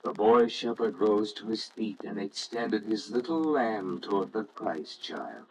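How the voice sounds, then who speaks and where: A man with a lowish voice reads as narrator, slowly and reverently. It sounds like old radio storytelling, with faint noise behind it. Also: animated. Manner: solemn, reverent, measured.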